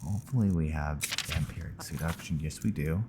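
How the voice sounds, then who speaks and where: A man's voice: a bass voice, normal speed, noisy background. A man giving casual commentary on his roleplay playthrough, rambling, hesitant and often trailing off. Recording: game music behind the voice. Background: video game music.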